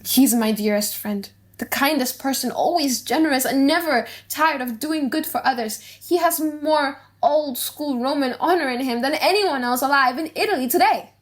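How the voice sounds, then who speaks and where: A woman as an earnest, high-voiced young nobleman. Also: normal speed, hardly any pauses, lightly acted. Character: remorseful young nobleman, devoted friend and lover. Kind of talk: dramatic audiobook reading of a play.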